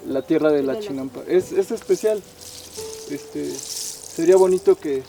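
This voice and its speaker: A man's voice: fairly high pitch, a little slow, mellow, slight background noise. A man with a Mexico City accent, a farmer talking unscripted for a documentary. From Xochimilco, Mexico City. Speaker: chinampa farmer.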